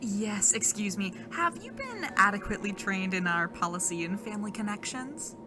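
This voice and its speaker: A woman's voice: medium pitch, sing-song, normal speed, natural voice. A woman playing a falsely cheerful, patronizing corporate manager in an audio drama, speaking in scripted polite phrases. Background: music.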